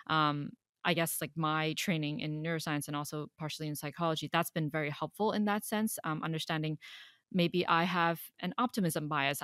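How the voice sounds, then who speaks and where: A woman's voice: low-pitched, no room sound, clean studio sound. A woman in her twenties from Hong Kong, working as an analyst, answering interview questions thoughtfully with frequent fillers.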